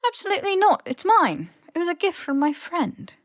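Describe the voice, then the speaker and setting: A woman's voice: very high voice, very animated, fairly quick, no echo, clean studio sound, voice pushed up. A woman voicing a stubborn, teasing young male wizard in his twenties, casual and cheeky, for an audiobook. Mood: playful, insistent. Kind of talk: audiobook character voice.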